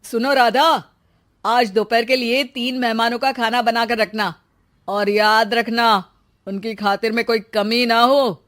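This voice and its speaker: A stubborn young husband in a cartoon, bossy and quick to scold, as a woman voices him. The voice sits a little high, and he gives orders without waiting for a reply. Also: fairly even intonation, fairly bright tone. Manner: scolding, commanding. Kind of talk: cartoon voice acting.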